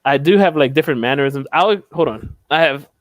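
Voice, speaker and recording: A man's voice: fairly high pitch, fairly quick, a little hiss or hum. A young man from Philadelphia, a panel guest in casual chat on a video call from home, rambling and losing his train of thought. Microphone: headset.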